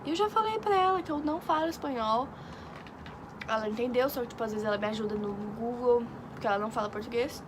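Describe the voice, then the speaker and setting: A woman's voice: fairly high pitch, quick pace. A young woman from Brazil, an exchange student, telling a rambling story in casual chat with lots of fillers. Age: young adult. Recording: noisy background.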